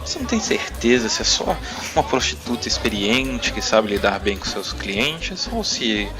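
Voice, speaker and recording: A man's voice: fairly low pitch, big pitch swings, normal speed. A man narrating a tabletop roleplaying game as game master, with music behind him. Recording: clear music bed behind.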